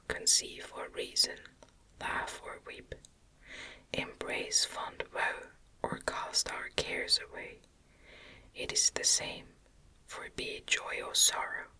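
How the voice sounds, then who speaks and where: Slow whispering woman reading gothic fiction. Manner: gentle, measured, soft delivery. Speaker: audiobook narrator.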